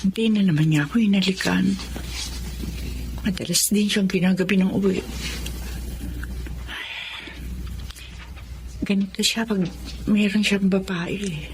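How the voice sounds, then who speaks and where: A woman's voice: medium pitch, expressive, moderate pace, darkish tone, a little hiss or hum, lightly acted, rough recording. A middle-aged woman with a Filipino accent playing a long-suffering, worried wife and mother in a radio drama. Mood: hurt, brooding. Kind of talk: Tagalog radio drama acting.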